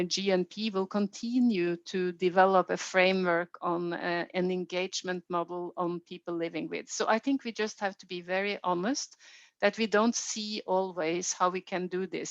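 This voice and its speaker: Norwegian-accented female official, lowish voice, deliberate unscripted meeting remarks, slightly dull audio. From Norway. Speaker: health organisation official leading a consultation. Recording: roomy, dull band-limited meeting audio.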